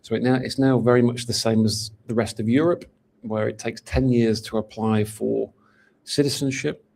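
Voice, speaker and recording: A man's voice: mid-range voice, steady tone, normal speed, gravelly, a little mellow. A man from England with a Manchester accent, answering interview questions in a chatty way with lots of fillers and restarts. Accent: Mancunian English. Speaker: golden visa specialist.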